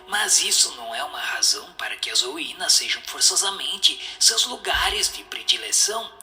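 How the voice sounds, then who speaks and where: Very bright, expressive Brazilian man. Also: fairly high pitch. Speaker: reader of the answers. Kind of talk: scripted, solemn dramatized reading. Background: music.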